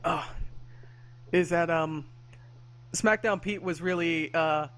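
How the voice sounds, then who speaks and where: A man's voice: fairly high pitch, slow, a bit bright. A man co-hosting a podcast in casual chat, hesitant, full of fillers and self-corrections. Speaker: podcast co-host.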